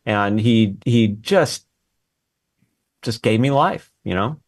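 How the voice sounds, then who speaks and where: A man's voice: on the low side, slow. A man talking as an interview guest, telling a personal story conversationally and acting out bits of remembered dialogue. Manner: acts out quoted dialogue while reminiscing. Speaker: interview guest, former research assistant and scholar. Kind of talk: animated anecdotal storytelling in conversation.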